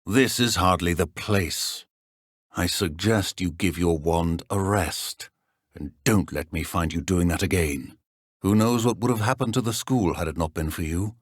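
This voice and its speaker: Deep-voiced, stern British wizard professor. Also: male voice, dry recording. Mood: solemn. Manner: measured, dry, formal, clipped. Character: stern, dry middle-aged wizard professor, ex-Auror. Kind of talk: video game voice acting.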